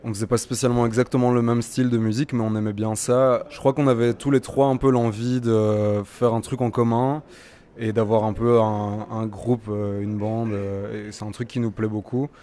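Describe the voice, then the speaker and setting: A young man in his twenties from Belgium answers interview questions after a gig. His voice sits low and he talks in a hesitant way, full of fillers and restarts. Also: little pitch movement, moderate pace, dark tone. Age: 20s. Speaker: musician. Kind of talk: festival interview.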